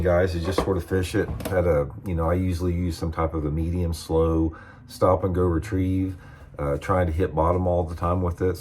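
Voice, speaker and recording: Very deep-voiced older man, scratchy. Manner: relaxed, folksy, addresses viewers as guys. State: hoarse, scratchy voice. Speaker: fishing instructor and channel host. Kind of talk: casual how-to video talk.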